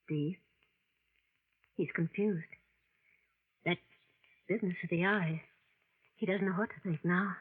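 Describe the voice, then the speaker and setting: An actress playing a worried young woman in a 1940s radio drama, speaking in a hushed voice, on a thin, tinny old recording. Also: fairly low pitch, fairly even intonation, slow pace, pauses often, breathy edge. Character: anxious young woman accomplice.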